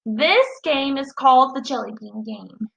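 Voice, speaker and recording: A genial storybook dad, read by a woman, makes friendly party announcements. The voice is bright, with clear echo from the room. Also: sits high, expressive, unhurried, lo-fi sound, full character voice. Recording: roomy echo.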